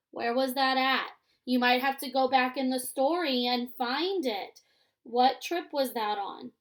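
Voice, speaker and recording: High-pitched, slow woman teacher. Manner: simple, instructive, checking in with "okay?". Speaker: elementary school teacher. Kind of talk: read-aloud lesson for young children.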